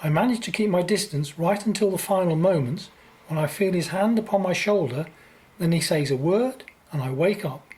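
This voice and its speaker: A woman's voice: on the low side, moderate pace, a little mellow, natural voice, rough recording. A woman playing an earnest, uneasy young man in a radio play. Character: anxious, earnest young man troubled by dreams.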